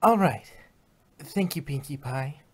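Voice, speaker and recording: A woman's voice: sits low, animated, slow pace, darkish tone, slightly rough, heavily acted. A woman voicing a friendly, earnest female pony in a cartoon fan story.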